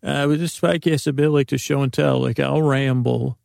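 A man's voice: mid-range voice, steady tone, moderate pace, gravelly, darkish tone, dry recording, clean studio sound. A man hosting a podcast, rambling through a comic monologue full of restarts and self-interruptions, acting out imagined exchanges. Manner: acts out imagined dialogues. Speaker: podcast host.

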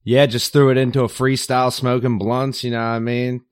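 A man's voice: mid-range voice, level tone, a little fast, clean tone, no echo, clean studio sound. A man with a Baltimore accent, guesting on a podcast in laid-back, joking chat. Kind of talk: casual chat.

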